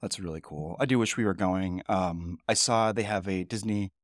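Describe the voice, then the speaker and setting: A man in his thirties co-hosting a podcast in casual, rambling chat, his voice low and groggy from the morning. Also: normal speed, no echo, clean studio sound. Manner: relaxed, rambling, chatty with fillers. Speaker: podcast co-host.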